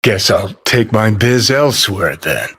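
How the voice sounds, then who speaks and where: Low-voiced sardonic rebel rocker. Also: male voice, sing-song, a little slow, a bit bright, slightly rough, full character voice. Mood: curt, cool. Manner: sardonic, profane, curt and dismissive. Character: brash cynical rebel rocker, a digital ghost. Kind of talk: video game character acting.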